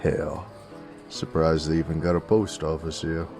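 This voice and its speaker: A man playing a gruff, teasing werewolf bounty hunter in a Western audio roleplay, with a gravelly American Western drawl and a folksy, coarse manner. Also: very deep voice, level tone, unhurried, music in the background, full character voice. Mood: wry, relaxed.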